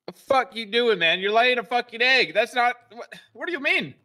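A man's voice: very high voice, expressive, moderate pace, bright, forceful tone, exaggerated voice. A man playing an agitated, foul-mouthed police captain in a roleplay game, blustering and repeating himself. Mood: alarmed, angry. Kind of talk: improvised online roleplay game.

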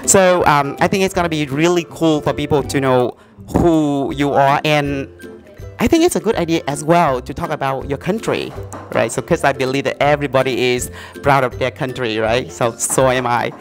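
A man's voice: medium pitch, normal speed, faint music behind. A young man with a Vietnamese accent, a native Vietnamese speaker, hosting a casual interview. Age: young adult. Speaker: host, English learning presenter. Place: indoor café-like open room. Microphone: handheld lavalier mic.

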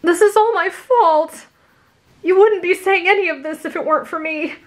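Lady doing a nervous anime teen boy, squeaky, stammery, keeps apologizing. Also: animated, moderate pace. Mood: distraught. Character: flustered earnest teenage hero boy.

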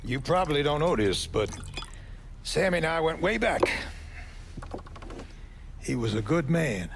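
A man's voice: a little high, very animated, measured pace, creaky, noisy background, heavily acted. An actor playing a weary, blunt older American mob man with folksy, streetwise talk in a video game. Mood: wary, confiding. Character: weary, foul-mouthed old mob man. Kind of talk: video game cutscene dialogue.

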